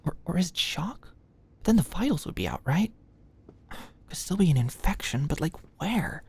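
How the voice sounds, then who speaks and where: A woman playing an anxious, stressed young medical student in an ASMR audio roleplay, halting and stammering as she talks. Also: fairly low pitch, sing-song, a little mellow, a little hiss or hum. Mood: muttering, worried.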